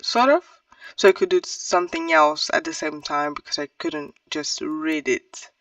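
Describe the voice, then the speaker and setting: A book reviewer talking casually and thinking aloud, in a halting, hesitant and self-correcting way. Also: medium pitch, big pitch swings, vocal fry, a bit bright, no echo, far from the mic. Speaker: book reviewer on own channel.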